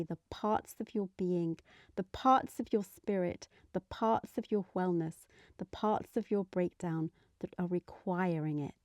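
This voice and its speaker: Slow, soothing woman guiding meditation. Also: medium pitch, no room sound, clean studio sound. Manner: soothing, gentle, unhurried phrasing with soft trailing endings. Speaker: guided meditation leader. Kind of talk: guided meditation.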